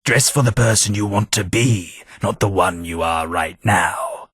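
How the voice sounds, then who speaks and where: Guy playing a posh gent, husky voice, takes his time, dry close mic. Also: fairly low pitch, a bit bright, no echo. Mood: measured. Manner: formal, polite, measured diction. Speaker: game companion. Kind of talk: game voice acting.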